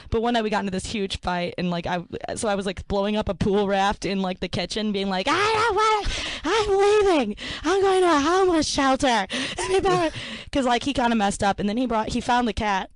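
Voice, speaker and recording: A woman in her twenties with a low voice, hosting a casual podcast chat, self-deprecating and laughing often as she talks. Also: lively intonation, moderate pace, few pauses, dry recording. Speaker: podcast host.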